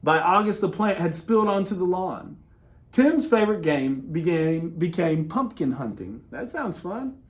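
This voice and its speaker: A man reading a children's picture book aloud in an expressive way, with dramatic pauses and casual, conversational delivery. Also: high voice. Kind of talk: animated read-aloud with casual asides.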